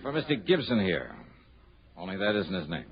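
A man's voice: low-pitched, sing-song, moderate pace. An actor playing a shrewd, wry, self-assured male private investigator in a 1960s radio drama. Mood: wry, accusing. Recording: muffled old radio broadcast recording.

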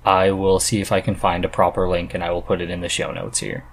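A man in his thirties with a husky voice, chatting casually as the host of a podcast. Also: deep voice, quick pace, a little hiss or hum. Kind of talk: casual chat about books.

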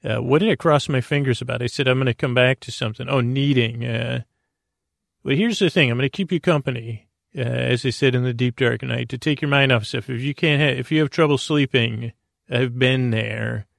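A man hosting a bedtime podcast, rambling in a halting, meandering way with a creaky, mumbly voice and self-deprecating asides. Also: medium pitch, dry recording, studio quality. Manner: meandering, halting, stumbling over words. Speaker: podcast host and storyteller. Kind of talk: rambling bedtime monologue to help listeners sleep.

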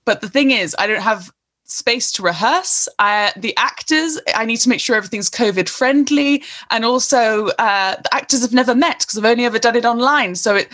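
A woman's voice: fairly high pitch, normal speed. A young woman from England hosting a casual online interview, friendly and encouraging. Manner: friendly, encouraging, often laughing softly. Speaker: host.

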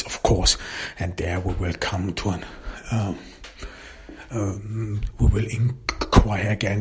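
A man whose first language is German, hosting his own podcast in casual talk, halting with many fillers and restarts. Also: deep voice, slight background noise. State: recovering voice after illness. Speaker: podcast host and author.

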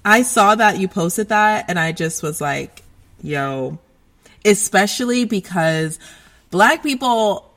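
A young American woman in casual conversation, talking in an animated, slangy way and laughing often. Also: mid-range voice, slow. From United States.

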